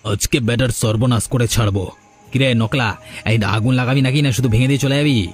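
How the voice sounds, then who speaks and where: A man's voice: mid-range voice, steady tone, fairly quick, a little hiss or hum, lightly acted. A man voicing a jealous, scheming, idle village man in a cartoon, with grumbling, blustering colloquial speech. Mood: conspiratorial. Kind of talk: cartoon dialogue.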